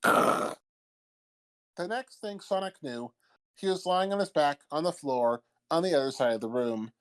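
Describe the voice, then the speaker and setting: A man's voice: lively intonation, unhurried, a bit bright, clear, resonant tone. A man reading scripted comedic fanfiction as the narrator. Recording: old-timey low-quality mic, background music.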